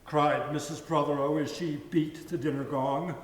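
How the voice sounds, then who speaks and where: A man's voice: on the high side, steady tone, moderate pace. Man narrating a story in an echoey hall. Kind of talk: dramatic reading of a story. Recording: echoey hall, mic at a distance.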